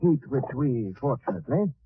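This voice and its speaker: A man's voice: medium pitch, expressive, moderate pace, husky. A man playing a reassuring professor who explains things precisely to a young space traveler in an old radio drama. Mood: helpful, instructive. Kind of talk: 1940s radio drama acting. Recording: tinny old radio recording with hiss.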